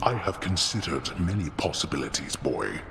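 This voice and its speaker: Cold, imperious underworld god. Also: male voice, a bass voice, lively intonation, moderate pace, vocal fry, echoey room, long reverb tail, music in the background, heavily acted. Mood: stern. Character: stern weary god of the dead, father. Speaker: lord of the underworld.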